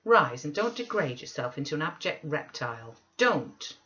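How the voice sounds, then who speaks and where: A quick-tempered young lady, acted out by a woman narrator, scolds imperiously with sharp, indignant scorn. It is a storybook performance on a slightly dull recording. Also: sits low, very animated, exaggerated voice. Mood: scornful, angry.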